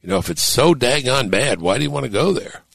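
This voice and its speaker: An older man hosting a talk show, speaking thoughtfully with halting restarts that build to emphatic points. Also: medium pitch, lively intonation, creaky, no echo. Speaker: host and author. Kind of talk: casual radio talk show conversation.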